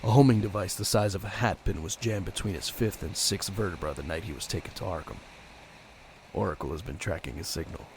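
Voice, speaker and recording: A man playing a brooding, stern vigilante detective in an audio drama, terse and grim with a gravelly voice. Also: sits low, fairly quick, darkish tone, a little hiss or hum. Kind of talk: audio drama narration and dialogue.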